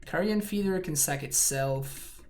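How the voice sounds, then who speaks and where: A woman's voice: sits low, unhurried, darkish tone. A woman from Argentina, a native Spanish speaker with an Argentine accent, chatting casually and thinking aloud while streaming a card game. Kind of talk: casual gameplay commentary.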